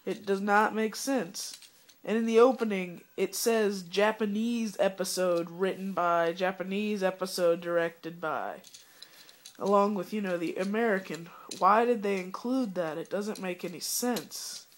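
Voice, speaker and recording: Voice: mid-range voice, measured pace. A young fan who is sick and coughing, giving a rambling, disappointed casual review full of fillers.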